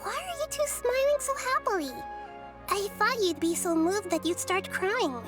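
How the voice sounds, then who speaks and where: A woman playing a small, thoughtful goddess in a video game, speaking in a measured, earnest, childlike way. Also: very high voice, lively intonation, over loud music. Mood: curious, gentle.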